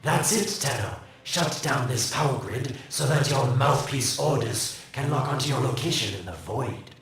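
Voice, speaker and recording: A smug, creaky mad scientist. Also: male voice, medium pitch, animated, normal speed, fairly bright tone, very echoey, far from the mic. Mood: sardonic. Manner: smug, sarcastic, drawling taunts. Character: sly, mocking male scientist, gleeful schemer.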